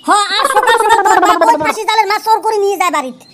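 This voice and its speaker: Very squeaky cheeky cartoon lad. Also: male voice, racing, very noisy. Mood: bickering. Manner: teasing, argumentative banter. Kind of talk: comic cartoon dialogue. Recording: loud music under the voice. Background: loud music.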